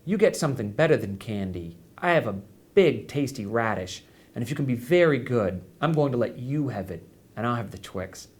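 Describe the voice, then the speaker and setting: A playful school nurse, a woman as read by a man, talking in coaxing, mock-grave tones. The delivery is teasing storybook dialogue at a middling pitch. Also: expressive, moderate pace, lightly acted. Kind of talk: acted dialogue in an audiobook reading.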